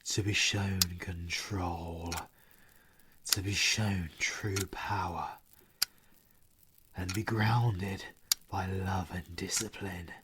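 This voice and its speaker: A British man playing a grieving, bitter werewolf villain in an audio roleplay, speaking in a theatrical, anguished, breathy manner. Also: medium pitch, slight background noise. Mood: wistful, intense. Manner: slow, theatrical, anguished, often breathy and half-whispered. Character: grieving male werewolf villain, bitter and powerful, mourning his mother. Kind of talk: scripted audio roleplay monologue.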